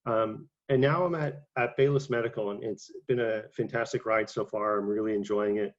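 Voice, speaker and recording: A young man with a low voice that barely rises or falls speaks haltingly, filling gaps with "um" and repeating words. He sounds congested from a cold. The recording is dull and lo-fi, like an online presentation. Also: steady tone. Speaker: conference speaker. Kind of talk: career talk to an online audience.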